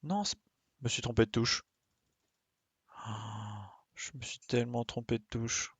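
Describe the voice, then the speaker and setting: A man's voice: mid-range voice, a little slow, slightly rough, no echo. A man giving casual live game commentary, muttering his reactions to himself. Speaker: game streamer.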